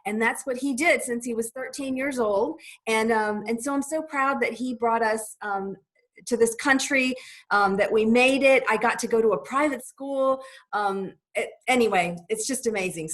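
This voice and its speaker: A woman's voice: a little high, brisk, a bit bright, rough recording. A woman on an online panel sharing a heartfelt personal story, hesitating with fillers.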